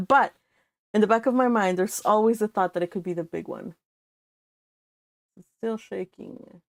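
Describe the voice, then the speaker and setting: A Californian woman hosting a read-along, reading a novel aloud and chatting about it in an informal, animated manner. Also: medium pitch, a little slow, gravelly, pauses often, no room sound. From California, United States. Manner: animated, informal, exclaiming asides. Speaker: host of a live read-along.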